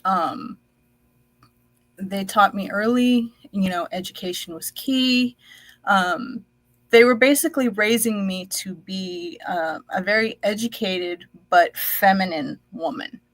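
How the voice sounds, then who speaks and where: A woman born in the United States whose first language was Dutch, reflectively telling her life story as a guest, with many pauses and fillers. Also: mid-range voice, dry recording.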